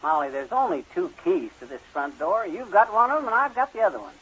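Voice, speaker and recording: An actor playing a stubborn, folksy, penny-pinching husband in an old-time radio comedy, blustering and arguing. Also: male voice, high-pitched, sing-song, fast, fairly bright tone, husky, heavily acted. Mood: breezy, excuse-making. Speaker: comic lead in a radio sitcom. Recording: dull vintage radio recording.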